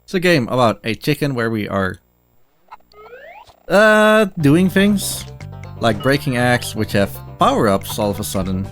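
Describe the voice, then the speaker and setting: Male speedrunner, medium pitch, casual live gameplay commentary, quiet music behind. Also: very animated, measured pace. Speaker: speedrunner presenting a game.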